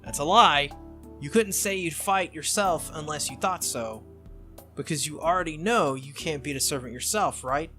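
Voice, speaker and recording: A man reading a visual novel aloud and voicing a sharp-tongued, bossy teenage girl mage in a brisk, lecturing way. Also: on the high side, faint music behind. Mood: teasing.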